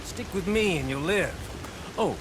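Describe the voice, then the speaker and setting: An actor playing a sly, swaggering American outlaw villain in a video game, drawling and taunting in a smug way. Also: male voice, high voice, expressive, a little slow, noisy background. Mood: slyly persuasive. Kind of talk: video game cutscene dialogue.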